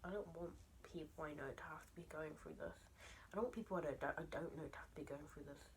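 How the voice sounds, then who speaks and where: A teenage girl venting to camera late at night, speaking softly and often in a whisper, raw and emotional, her tone shifting as she goes. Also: low voice, fast, slight background noise. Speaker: vlogger. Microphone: camera mic.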